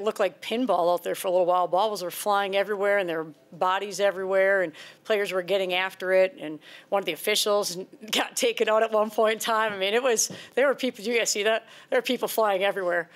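A woman in her forties, a head basketball coach, answering reporters' questions at a postgame press conference. She talks earnestly and conversationally into a table microphone, often repeating a word as she restarts a sentence. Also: mid-range voice. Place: press conference room before a sponsor backdrop.

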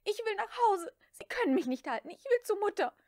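A woman's voice: very high voice, big pitch swings, a little fast, darkish tone, no room sound, studio quality, voice pushed up, full character voice. A woman voicing a desperate, willful young woman in an audiobook, urgent and prone to shrieking. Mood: hysterical. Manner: urgent, shrieking when pushed. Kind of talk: dramatic audiobook character reading.

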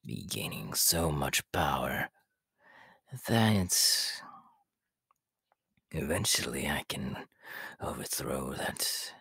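A man voicing a sinister, power-hungry immortal body-stealer in an ASMR roleplay, sly and menacingly polite, with a raspy, half-whispered hiss. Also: rumbling low, lively intonation, pushed and bright, no room sound, full character voice. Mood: scheming. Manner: slow, drawn-out, menacingly polite. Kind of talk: ASMR character roleplay monologue.

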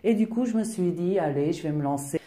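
A woman with a fairly low, warm and mellow voice guides viewers through a home decorating tutorial. Her first language is Portuguese, and she talks in a friendly, unhurried way, often pausing on fillers as she searches for words. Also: animated. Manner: friendly, hesitant with frequent fillers. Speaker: DIY decor vlogger. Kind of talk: tutorial voice-over.

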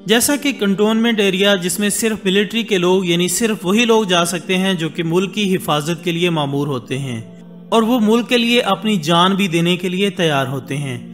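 A man reading a scripted documentary voice-over in formal Urdu, measured and steady, with Arabic religious phrases woven in. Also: high-pitched. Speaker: documentary narrator. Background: soft background music.

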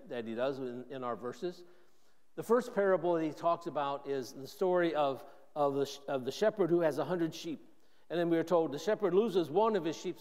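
A man's voice: a little high, darkish tone. Older pastor guy in his 60s, chatty sermon, keeps restarting lines, big echoey church. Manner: conversational, thinking aloud with frequent restarts. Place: church sanctuary. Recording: long church reverb.

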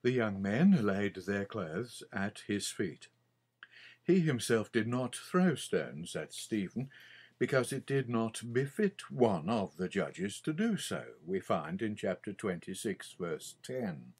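An older man reading his own scripted Bible commentary in a measured, deliberate manner with frequent pauses. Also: lowish voice, sing-song, darkish tone, slightly rough.

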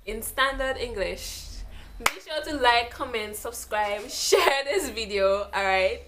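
Young woman vlog host, animated and playful, a touch high, faint hiss behind. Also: slow pace. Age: young adult. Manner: playful, laughing often, lively. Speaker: vlogger host. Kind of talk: casual chat trying out accents. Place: small indoor room at home.

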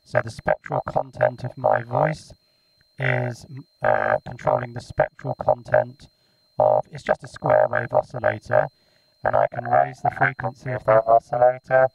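A man's voice: very deep voice, sing-song, normal speed, gravelly, husky, no room sound, far from the mic, highs cut off, faint music behind. A man casually demonstrating electronics, his voice processed through a robotic vocoder effect. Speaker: electronics hobbyist presenter.

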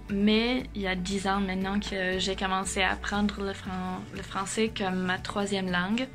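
Flat-toned German woman speaking French. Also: mid-range voice. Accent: German, with southern French and Québécois traces. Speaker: participant. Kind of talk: casual self-introduction to camera. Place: room indoors.

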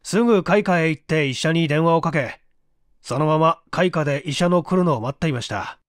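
A defiant, offhand secretary in a mystery story, voiced by a male narrator. He answers curtly, in a fairly high male voice recorded dry. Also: normal speed, dry recording, natural voice. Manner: curt, offhand, brusque. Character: surly, defiant male secretary under questioning.

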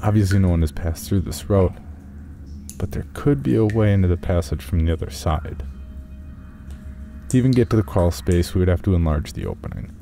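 A man with a very low, gravelly voice narrates a first-person journal story. His delivery is that of an audio storyteller, with music playing clearly behind him. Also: big pitch swings, brisk, pauses often, dark tone.

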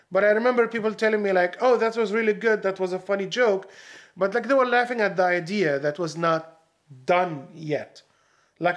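High-voiced man from Syria reflecting. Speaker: stand-up comedian. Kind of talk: documentary interview.

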